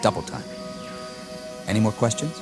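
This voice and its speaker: An actor playing an arrogant, by-the-book army lieutenant in a radio drama, speaking in a curt, commanding, condescending way. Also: male voice, fairly low pitch, sing-song, moderate pace. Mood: stern, commanding. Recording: music behind the dialogue.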